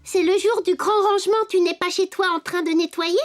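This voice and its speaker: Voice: extremely high pitch, steady tone, brisk. Dans un dessin animé français, un jeune pantin de bois joyeux et serviable. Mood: cheerful, curious. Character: cheerful, helpful young wooden puppet boy. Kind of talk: French children's cartoon dubbing. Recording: music behind the voice.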